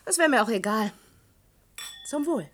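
Radio drama: a bold girl in her early twenties, curious and teasing, fairly high voice. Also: lively intonation, measured pace, a bit bright. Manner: teasing, forthright. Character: cheeky, inquisitive 22-year-old woman posing as chambermaid. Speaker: actor in a radio play. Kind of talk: German radio drama acting.

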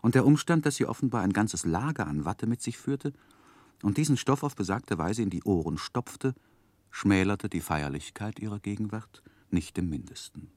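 An actor playing a grown man narrating his own life story in a radio drama, with measured, wry delivery. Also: lowish voice, lively intonation, a little mellow, dry recording. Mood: wry, descriptive. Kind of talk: scripted literary narration in 1960s radio drama.

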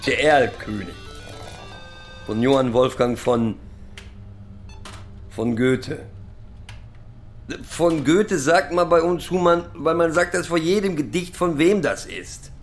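An actor playing a rambling, proudly sentimental middle-aged pub drinker who lectures and recites poetry in a halting, self-correcting way. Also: male voice, on the high side, faint music behind. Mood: insistent, boastful. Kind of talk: theatrical monologue with halting recitation.

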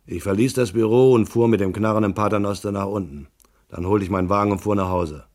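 An actor playing a hard-boiled, laconic detective in a noir radio drama, narrating in a terse, deadpan way. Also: male voice, fairly low pitch, fast, lightly acted. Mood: dry, weary. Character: hard-boiled male private detective, laconic and world-weary.

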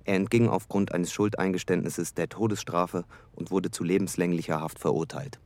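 An actor playing a neutral reporter who reads scripted facts in a detached way within a radio drama. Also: male voice, low-pitched, steady tone, normal speed, a little mellow, no room sound. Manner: factual, detached.

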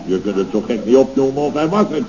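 An actor playing a pompous, hot-tempered middle-aged contractor boss in a Dutch radio comedy serial, with bombastic, flowery, melodramatic delivery. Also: male voice, mid-range voice, expressive, fast talker, crowd noise, natural voice. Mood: boastful. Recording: muffled old radio recording with hiss.

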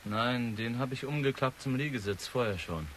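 A man's voice: mid-range voice, moderate pace, a bit bright, a little hiss or hum, natural voice. An actor playing a troubled, secretive son in his twenties, subdued but insistent, in a German radio drama. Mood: subdued, tense. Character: troubled 23-year-old son, secretive, pleading, confessing killer.

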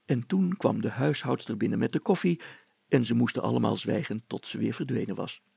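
A man narrates a story as an expressive reader. His voice is gravelly and rough-edged, captured close with very little room sound. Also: medium pitch, fairly quick, no room sound.

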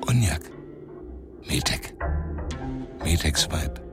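An actor voicing a male Arctic hunter in a radio play, in terse, gravelly, partly whispered murmurs. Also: a bass voice, animated, very slow, full character voice. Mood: hushed, brooding. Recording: distant studio voice over music. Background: music.

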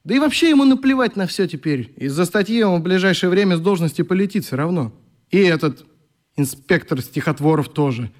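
A man's voice: high voice, expressive, brisk. An ailing, irritable young man. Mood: resigned. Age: young adult. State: coughing, sick with flu. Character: sick, irritable young man, idealistic youth leader. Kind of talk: radio play acting.

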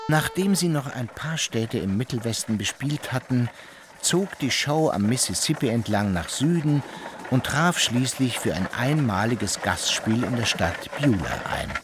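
Actor as lively, wry male narrator. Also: medium pitch, unhurried, background noise, close to an everyday voice. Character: wry male storyteller of a satirical tale. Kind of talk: scripted radio-play narration.

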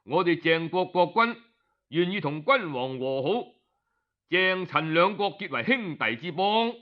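A man voicing a deferential envoy in a Cantonese accent, speaking in measured, formal, apologetic phrases within an oral story. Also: high voice, animated. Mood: humble, formal. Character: deferential male envoy, humbly formal and conciliatory. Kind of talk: storytelling character voice. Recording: dull, lo-fi audio.

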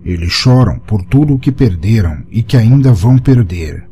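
Veteran male hunter leader, played by a man: older, gruff-tempered, authoritative, mellow tone, audio fiction. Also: on the low side, expressive, moderate pace, faint music behind, natural voice. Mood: stern warning. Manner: stern, commanding. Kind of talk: audio short story dialogue.

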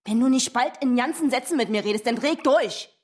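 Husky, fed-up ex-wife. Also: female voice, high-pitched, quick pace. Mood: exasperated. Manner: accusing, blunt, interrogating. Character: angry, fed-up, sharp-tongued ex-wife and mother. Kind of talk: naturalistic German radio drama acting.

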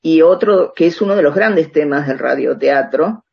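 A woman being interviewed on the radio, explaining in a conversational and halting way, searching for words and seeking agreement. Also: deep voice, creaky, breathy edge, dull audio.

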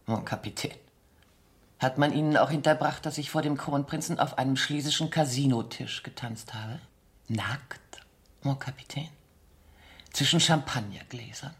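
An actress in a German radio play voicing a seductive, mocking dancer accused of espionage, theatrical and ironic, sometimes dropping into breathy murmurs. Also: female voice, a bass voice, expressive, unhurried, heavily acted. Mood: teasing, mocking.

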